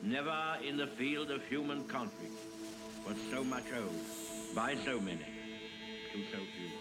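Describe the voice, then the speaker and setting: A man's voice: medium pitch, a little slow, natural voice. A man playing a solemn older British wartime leader, delivering defiant 1940s radio oratory. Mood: grave. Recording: radio voice buried in loud music.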